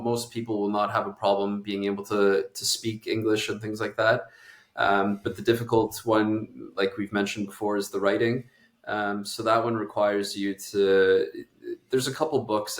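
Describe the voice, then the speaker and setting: A man, a doctor being interviewed, explaining things at length in a casual, conversational way. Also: on the low side. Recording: echoey room.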